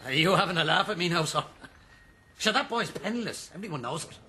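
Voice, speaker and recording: An Irish livery stable keeper in a radio play. His voice is bright and fairly high, colloquial and wry, with a mocking edge under the politeness. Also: expressive, moderate pace. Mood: mocking amusement. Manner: deferential yet scornful, colloquial. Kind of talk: radio drama acting.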